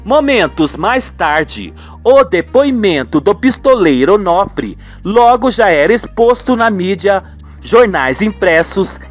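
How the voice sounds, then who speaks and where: Brazilian guy narrating a radio soap, dramatic, highish voice, soft music under.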